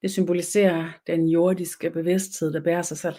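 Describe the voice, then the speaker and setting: A woman with a Danish accent, whose first language is Danish, teaching a spiritual webinar in a slow, meditative way and often searching for words. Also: lowish voice, little pitch movement, mellow.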